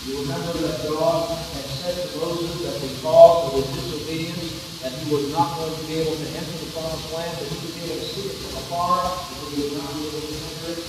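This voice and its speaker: A man preaching a sermon to a congregation, reading scripture quietly, then building to loud, fervent preaching. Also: a little high, steady tone, fairly quick, hardly any pauses. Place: church sanctuary. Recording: echoey hall from far off, faint room noise.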